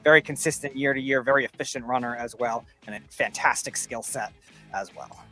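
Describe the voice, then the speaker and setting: A man's voice: mid-range voice, brisk, bright, forceful tone, soft background music. A man on a sports panel, debating players in casual talk.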